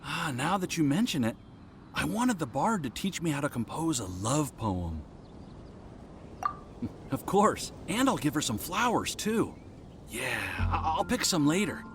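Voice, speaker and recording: A man's voice: fairly high pitch, very animated, measured pace, gravelly, fairly bright tone, a little hiss or hum, exaggerated voice. A man voicing a shy, earnest young man in love in a video game, with a hesitant, stammering delivery. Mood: hesitant, grateful. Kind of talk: video game character acting.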